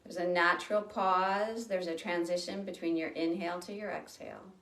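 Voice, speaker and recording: A woman leading a guided breathing meditation, speaking slowly and gently with long pauses. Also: lowish voice, distant mic. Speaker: meditation teacher. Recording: roomy sound from off the mic.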